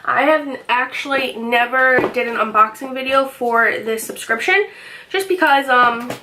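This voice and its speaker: A young woman vlogging from her bedroom, chatty and upbeat, her voice a little raspy from a cold. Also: a little high, normal speed, noisy background. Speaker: beauty vlogger. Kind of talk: casual unboxing chat. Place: bedroom, indoors.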